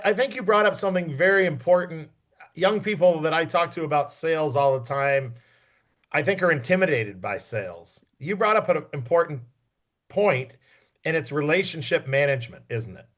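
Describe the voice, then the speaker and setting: A man interviewing a guest on a podcast in a conversational, encouraging and deliberate way. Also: on the high side, fairly bright tone.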